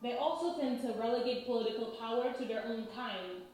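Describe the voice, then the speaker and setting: A woman in her thirties from Haiti, explaining in a measured, lecturing way and often checking in with "right?". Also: on the high side, a little mellow, slight background noise. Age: 30s. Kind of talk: explanatory talk. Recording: very echoey room.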